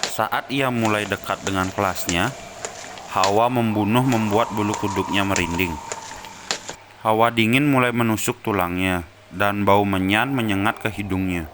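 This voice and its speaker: A man's voice: lowish voice, steady tone, moderate pace, background noise. A man delivering scripted narration for a story as its narrator.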